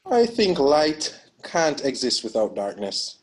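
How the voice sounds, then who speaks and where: A man's voice: mid-range voice, big pitch swings, slow. A man playing a grieving, vengeful father in a dramatic script table read, speaking in terse, brooding lines.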